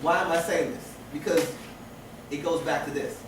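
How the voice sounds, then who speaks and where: A man's voice: a little high, steady tone, normal speed. A man teaching a class, lecturing with many restarts and rhetorical questions. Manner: repeats and restarts phrases, asks rhetorical questions. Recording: echoey room with noise from far off.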